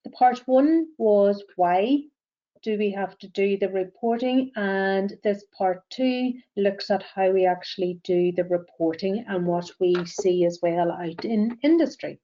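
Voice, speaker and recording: A woman with a Northern Irish accent presenting a webinar as a greenhouse gas verifier, speaking in a measured way with frequent ums and uhs. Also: medium pitch, mellow, highs cut off. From Northern Ireland.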